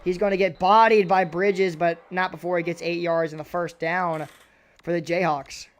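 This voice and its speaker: A young man doing play-by-play commentary on a video game football match, excitable and a little raspy, his voice jumping on big plays. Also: normal speed. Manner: excitable, voice jumps high and loud on big plays.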